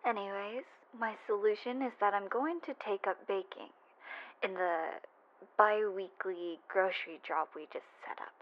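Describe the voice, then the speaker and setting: A woman playing a cocky but affectionate female mafia boss in an intimate roleplay voice message, teasing and often dropping to a breathy murmur. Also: medium pitch, lightly acted. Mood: affectionate, bored. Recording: dull, lo-fi voice-message sound.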